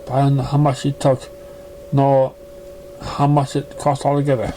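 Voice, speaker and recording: A man reading a story aloud as an amateur narrator, stumbling and halting over the words. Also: mid-range voice, monotone, dark tone, rough recording. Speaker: amateur fan fiction reader. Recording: noticeable background noise, amateur recording.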